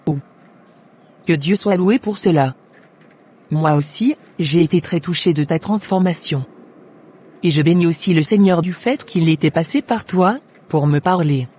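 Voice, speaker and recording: Woman acting a husky, gentle devout cartoon character. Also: on the low side, measured pace, long pauses. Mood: grateful.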